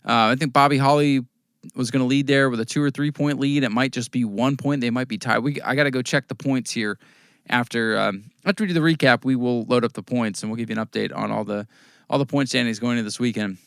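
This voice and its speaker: An American man with a voice worn hoarse, casually recapping local short-track races as a podcast host, rambling through results with fillers. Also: mid-range voice, fast, no room sound, clean studio sound. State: losing his voice, coughing at times. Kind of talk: casual race recap talk.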